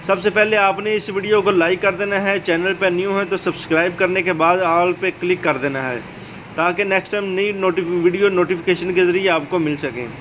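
A man narrating a how-to video as a tutorial host. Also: high-pitched. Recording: dull lo-fi audio, steady background noise.

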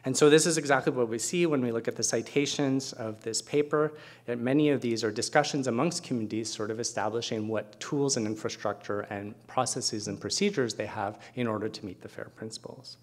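A man's voice: mid-range voice, a little fast. A middle-aged man speaking as a keynote presenter at an academic conference, explaining things with conversational asides. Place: lecture hall at a podium, indoors.

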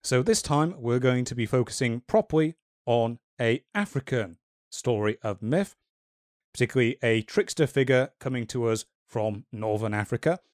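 A man hosting a casual podcast, talking in a measured way with wry, dry humor. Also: medium pitch, animated, a little mellow, no echo. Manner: measured, wry and dryly joking. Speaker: podcast host.

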